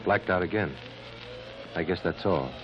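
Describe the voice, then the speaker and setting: An actor playing a calm, commanding scientist in a 1950s radio drama, with a measured, authoritative and clinical manner. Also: male voice, low-pitched, music in the background, lightly acted. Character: calm, commanding male scientist running a mind-probe experiment. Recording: muffled, noisy old radio recording.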